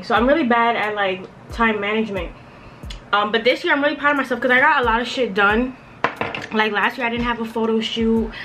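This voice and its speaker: A woman's voice: mid-range voice, pushed and bright, a little hiss or hum. A young woman chatting casually and slangily as a vlogger, rambling along with lots of filler words. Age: young adult, around twenties. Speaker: beauty and lifestyle vlogger. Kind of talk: casual chatty get-ready-with-me vlog. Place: bedroom at home, indoors.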